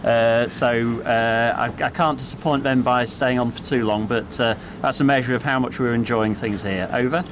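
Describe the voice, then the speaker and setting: A middle-aged man from Wales speaking casually and explaining things in a measured way as a guest on a radio contact. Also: medium pitch, steady tone, noisy background. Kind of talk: casual chat.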